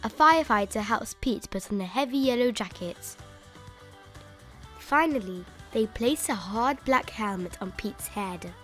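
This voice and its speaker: A woman reading a children's picture book aloud in an expressive, emphatic way, stretching out key words. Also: on the high side, unhurried. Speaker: children's story reader. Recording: music bed behind the voice.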